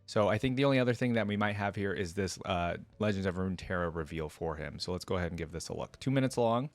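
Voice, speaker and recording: A man hosting a reaction video, giving informal, excitable commentary and thinking aloud as he goes. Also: sits low, quick pace, faint music behind. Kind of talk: casual reaction commentary with some reading aloud.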